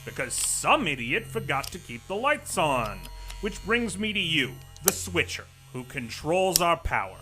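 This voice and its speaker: An actor playing a pompous, bullying corporate vice president in an audio drama, imperious, condescending and sarcastic. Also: male voice, fairly high pitch, big pitch swings, measured pace, music in the background. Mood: pompous, scornful. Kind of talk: theatrical audio drama acting.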